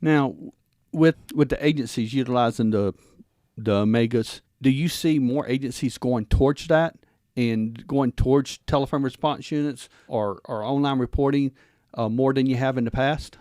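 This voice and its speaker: A male interview host with a medium-pitched voice, recorded dry and close to free of room sound. He talks in an informal, wordy way, circling and repeating phrases as he goes. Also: normal speed, darkish tone, no room sound. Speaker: guest host, former police officer. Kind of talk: conversational interview hosting.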